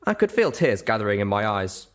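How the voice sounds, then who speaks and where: Male audiobook narrator, lower-pitched, delivering scripted first-person fiction. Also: very animated, fairly quick, fairly bright tone.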